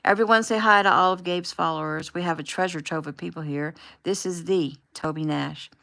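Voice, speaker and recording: A woman's voice: sits low, fairly even intonation, moderate pace, low-quality recording. A woman voicing a bubbly young woman who gushes while filming a live social media video. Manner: gushing, upbeat, chatty.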